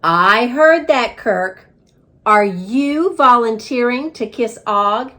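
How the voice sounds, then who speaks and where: A woman reads as a female storybook schoolteacher addressing her class. She is firm and encouraging, with a fairly high, very animated voice and a deliberate, measured delivery. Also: exaggerated voice. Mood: brisk, firm.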